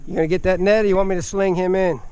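A man's voice: sits high, flat delivery, rapid-fire, mellow, clean tone. Whooping man fishing on a lake. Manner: boastful, teasing, whooping when fish pull. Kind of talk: casual banter while fishing. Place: outdoors on a pontoon boat on a lake.

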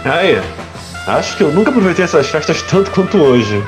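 A man's voice: on the high side, big pitch swings, a little fast. A young man playing an evasive, troubled rich playboy in an audio drama. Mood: evasive, affectionate. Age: young adult. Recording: music under the voice.

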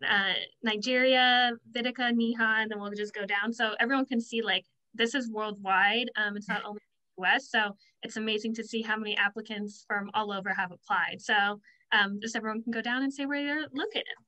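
A woman's voice: a little high, steady tone, fairly quick, sharp tone. A young woman hosting a casual video call with an enthusiastic manner. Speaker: host. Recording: dull lo-fi video call audio.

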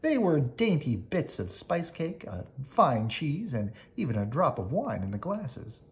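An expressive children's storybook narrator. The reading is unhurried, with a lively, swooping pitch. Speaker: storybook reader.

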